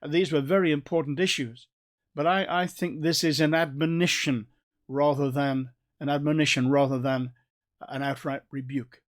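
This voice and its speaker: A man with a North Staffordshire accent giving a recorded Bible study in a conversational way, with restarts and gentle asides. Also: fairly high pitch, fairly even intonation, normal speed. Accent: North Staffordshire English. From England. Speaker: Bible teacher.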